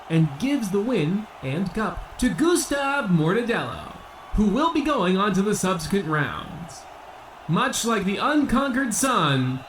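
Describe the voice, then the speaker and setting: A pompous, Rome-obsessed boxing announcer played by a man, high-voiced and wildly animated. The commentary is grandiose old radio comedy, with faint noise behind it. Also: heavily acted, poor audio.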